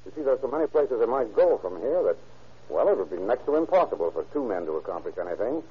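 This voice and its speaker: An actor playing a determined, insistent police detective in an old-time radio crime drama. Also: male voice, mid-range voice, big pitch swings, fast, breathy edge. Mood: urgent. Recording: muffled old radio broadcast recording.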